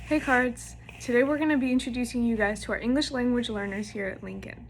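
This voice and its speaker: Teen female reporter, friendly, slightly high, light room noise. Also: fairly even intonation, fast. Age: teens. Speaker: student reporter. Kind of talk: reporting and interviewing.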